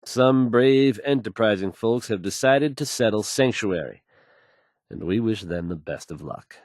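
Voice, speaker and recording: A man's voice: on the low side, expressive, measured pace, darkish tone, dry recording, studio quality. A man playing an assured, folksy radio DJ in a video game, delivering news bulletins to listeners with fluent, polished ease. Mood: upbeat, confident.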